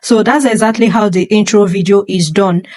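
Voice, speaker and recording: A woman's voice: medium pitch, moderate pace, darkish tone. A woman from Nigeria, an online teaching coach, walking viewers step by step through a screen-recorded tutorial. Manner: instructive, step by step.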